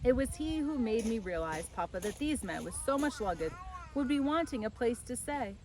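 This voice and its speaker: A woman voicing an earnest, excited fourteen-year-old Italian boy in a storybook, eager and halting. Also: fairly high pitch, background noise, voice pushed up.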